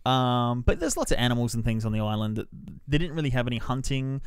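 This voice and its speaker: A man co-hosting a podcast, casually giving his film opinions in an offhand, measured way. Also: mid-range voice, very animated, clean tone, no room sound, clean studio sound. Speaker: film reviewer and podcast co-host.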